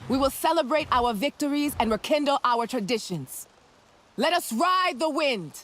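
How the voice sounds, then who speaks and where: Solemn, ceremonial clan leader. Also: female voice, high voice, lively intonation, normal speed, a bit bright. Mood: reverent, inviting. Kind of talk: video game voice acting.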